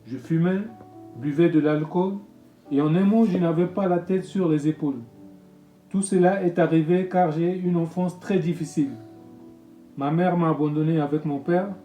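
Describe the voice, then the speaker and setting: A man, a pastor reading his own testimony in a solemn, measured way. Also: on the high side, fairly even intonation, warm tone. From Congo-Brazzaville. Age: 50s. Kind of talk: read personal testimony. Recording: echoey room with music bed.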